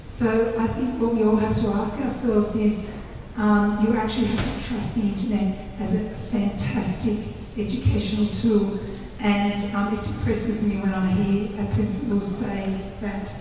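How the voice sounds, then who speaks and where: A woman school principal with a croaky voice, giving an earnest, passionate comment from the audience. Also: mid-range voice, warm tone, a little hiss or hum. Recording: echoey hall, distant mic.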